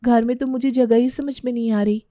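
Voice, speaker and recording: A woman's voice: on the high side, steady tone, fairly quick, warm tone, dry recording, studio quality, pitched above the performer's own voice, close to an everyday voice. A woman voicing a hesitant, puzzled young Indian newlywed daughter-in-law, polite and questioning.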